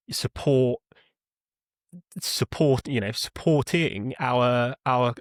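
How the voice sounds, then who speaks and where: A man with a lower-middle pitched voice speaks as an interview guest. He explains things in a conversational, halting way, doubling back on words as he goes. The sound is close and dry. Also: no echo. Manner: halting, with repeated words and self-corrections. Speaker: podcast guest, customer support leader.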